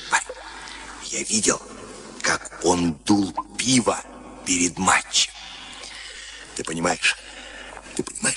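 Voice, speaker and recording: Very deep, pushy boxing trainer. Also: male voice. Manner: urgent, coaxing, repeats short commands. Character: huge, rough, pushy boxing trainer, a Greek. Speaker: actor. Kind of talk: Soviet radio play acting.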